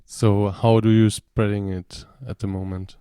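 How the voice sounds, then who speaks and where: A man's voice: fairly low pitch, little pitch movement, measured pace, mellow, smooth, dry recording. A man asking questions in a radio interview, in loosely phrased, non-native English.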